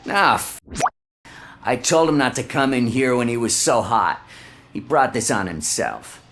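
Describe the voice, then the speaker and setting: An actor playing a surly, mocking tattoo artist in a video game, with a sarcastic, defiant manner. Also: male voice, medium pitch, lively intonation, a little slow, a bit bright, faint music behind. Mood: grudging. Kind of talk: video game dialogue acting.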